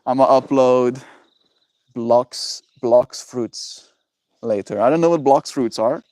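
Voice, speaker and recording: Young guy streamer, chill and encouraging, warm low-key voice, dry close sound. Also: slow pace, dry recording. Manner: laid-back, encouraging, says "bro" a lot. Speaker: livestreamer. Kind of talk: casual livestream chat with viewers.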